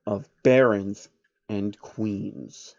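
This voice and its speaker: A man narrating a comedic fan story in a deadpan way, with wry asides. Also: on the low side, very slow, creaky, no echo, muffled recording.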